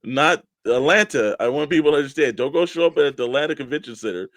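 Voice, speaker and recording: A man's voice: on the high side, expressive, quick pace, a bit bright, dry recording. An American man co-hosting a toy collecting show in casual, informal conversation with spoken emphasis. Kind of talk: casual radio-show chat.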